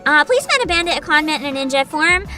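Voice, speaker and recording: A woman hosting a livestream, chatting casually with viewers in an excitable, bubbly, giggly manner. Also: sits high, rapid-fire, music in the background. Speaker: livestreamer host.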